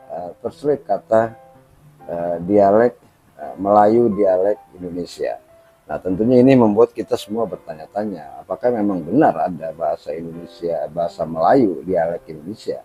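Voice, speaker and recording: Man, very low voice, very dark tone, hesitant fillers, reaction commentary, dull lo-fi sound. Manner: hesitant, many drawn-out fillers. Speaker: reaction video host.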